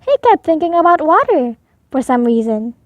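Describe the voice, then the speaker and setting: High-voiced, animated woman storyteller. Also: normal speed. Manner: expressive storytelling. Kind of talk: children's picture book read-aloud.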